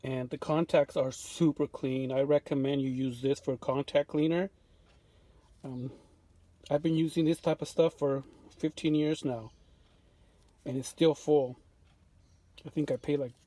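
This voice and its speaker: A man giving an unscripted show-and-tell of his restoration work in a home workshop, relaxed and full of fillers and restarts. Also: medium pitch, a little slow, pauses often, no echo. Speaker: vintage radio restorer.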